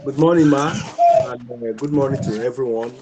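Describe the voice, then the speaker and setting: A man's voice: mid-range voice, normal speed, highs cut off, very noisy. A man from Nigeria who owns a school, introducing himself deferentially to his teacher. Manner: deferential, says ma'am often. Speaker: school owner. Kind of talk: self-introduction.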